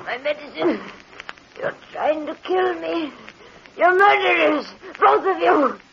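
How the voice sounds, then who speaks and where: Shrill, imperious, vengeful rich lady. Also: lively intonation, slow pace, gravelly, a bit bright, slight background noise, heavily acted. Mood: dying, vengeful. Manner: melodramatic, commanding. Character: imperious, wealthy woman, betrayed and vengeful. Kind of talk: 1940s radio acting. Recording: muffled vintage 1940s radio recording.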